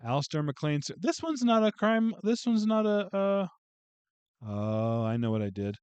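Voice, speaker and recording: A man's voice: high voice, very animated, measured pace, darkish tone, clear, resonant tone, dry recording, highs cut off. A man casually going through a book haul on a video, chatting and joking with viewers as he reads out titles. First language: English. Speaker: booktube vlogger.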